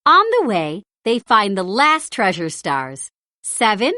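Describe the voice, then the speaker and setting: A woman narrating a children's storybook read-aloud in an expressive, deliberate storytelling style. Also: mid-range voice, no room sound, clean studio sound. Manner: animated, deliberate storytelling delivery.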